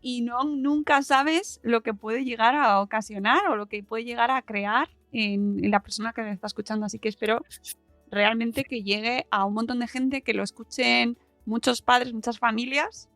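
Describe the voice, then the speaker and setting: A woman's voice: on the high side, fairly even intonation, normal speed, fairly bright tone, dry recording, soft background music. A woman hosting a podcast, chatting casually with her guest in an effusive, encouraging way. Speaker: podcast host. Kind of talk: casual conversational interviewing.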